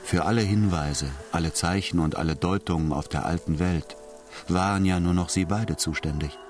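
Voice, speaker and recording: Deep male radio drama narrator. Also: moderate pace, darkish tone. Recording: music behind the voice.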